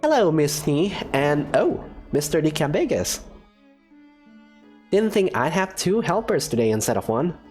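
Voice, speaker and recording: A woman's voice: sits low, big pitch swings, measured pace, darkish tone, soft background music. Woman doing a gracious, genteel lady professor. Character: warm, genteel female professor, expecting mother.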